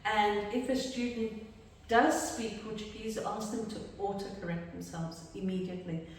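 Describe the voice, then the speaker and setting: A woman who trains teachers for speaking exams, answering questions in a relaxed, chatty way. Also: medium pitch, normal speed, slight background noise. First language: English. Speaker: exam trainer. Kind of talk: casual Q&A discussion. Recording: echoey room from far off.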